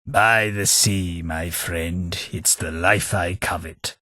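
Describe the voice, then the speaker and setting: A man's voice: deep voice, steady tone, takes it slowly, sharp tone, no room sound, exaggerated voice. An actor playing a prim, snobbish male companion in a video game, with a dry, sardonic delivery.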